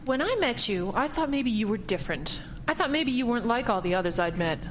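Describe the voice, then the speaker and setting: A woman's voice: fairly high pitch, animated, a little fast, a little hiss or hum, lightly acted. A woman playing an earnest, persistent real estate agent in an audio drama, speaking in a direct, insistent manner. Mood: hurt, bitter.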